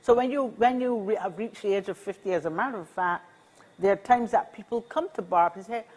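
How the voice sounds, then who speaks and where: A woman's voice: medium pitch, fairly quick, darkish tone, poor audio. A woman in her sixties with a Barbadian accent, speaking in an encouraging, upbeat way as an interview guest and often repeating her key phrases. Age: 60s. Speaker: senior advocacy organization representative. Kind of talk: casual interview conversation.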